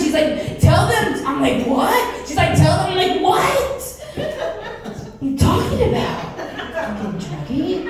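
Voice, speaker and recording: A high, lively woman's voice with a South Texas Chicana accent, delivering a brash, giggly stand-up set and swearing freely. She is heard from a distance in a very echoey club, with a loud crowd reacting around her. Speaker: stand-up comedian. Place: comedy club stage.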